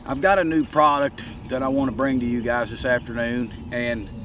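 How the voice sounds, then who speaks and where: A man with a head cold, hoarse and congested, giving a folksy step-by-step workshop demonstration. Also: medium pitch, steady tone, normal speed, recorded from a distance, background noise. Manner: folksy, plain-spoken, explains step by step. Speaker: gunsmith demonstrating a product.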